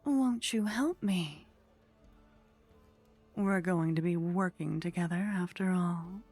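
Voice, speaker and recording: Woman acting a slow, breathy, flirtatious vampire lady. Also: on the low side, a little mellow, soft background music, exaggerated voice. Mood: coy, seductive. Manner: slow, teasing, breathy murmur slipping into whispers. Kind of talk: ASMR roleplay. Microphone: close microphone.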